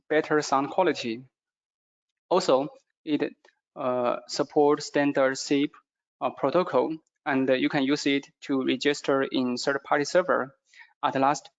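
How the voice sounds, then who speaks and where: Higher-pitched man presenting, non-native English speaker. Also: highs cut off. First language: not English. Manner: halting delivery with frequent hesitations. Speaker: product presenter. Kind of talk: webinar product presentation.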